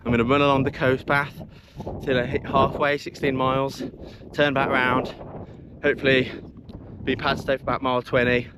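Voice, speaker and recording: A man's voice: mid-range voice, flat delivery, moderate pace, pauses often. A man in his early thirties talking casually as a vlogger about his run, partly outdoors on an action camera mic. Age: early 30s. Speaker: vlogger, amateur long-distance runner. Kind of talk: casual running vlog talk and narration to camera. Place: outdoors on a coastal trail for much of it. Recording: outdoor wind and ambient noise.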